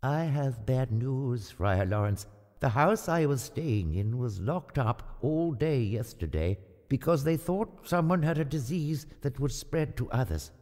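A man's voice: mid-range voice, expressive, measured pace, darkish tone, lightly acted. A man playing an elderly monk earnestly explaining himself in a dramatized story. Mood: apologetic, worried. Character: elderly monk, anxious bearer of bad news. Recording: long reverb, music behind.

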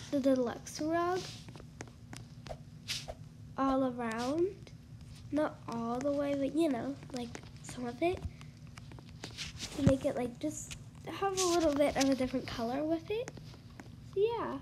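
A woman's voice: high-pitched, slow pace, long pauses, noisy background. A young woman with a congested, stuffy voice, chatting casually through a tour of a house she built in a game, full of ums and likes. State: congested from allergies. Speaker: gaming YouTuber.

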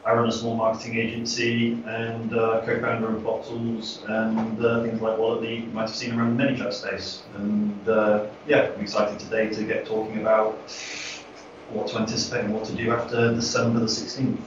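Distant, echoey, soft-spoken man. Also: on the low side, completely flat delivery, a little fast. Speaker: agency owner panelist. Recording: distant, echoey, noisy, dull mic.

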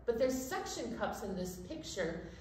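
A woman chatting in an animated way as a children's storytime presenter, speaking directly to young listeners. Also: fairly high pitch, moderate pace. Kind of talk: casual children's storytime chat. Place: library children's room. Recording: very echoey room, distant mic, faint noise.

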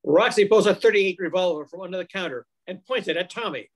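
High-pitched older male radio narrator. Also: quick pace. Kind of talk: scripted old-time radio drama narration. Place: home room, video call. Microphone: computer mic on a video call.

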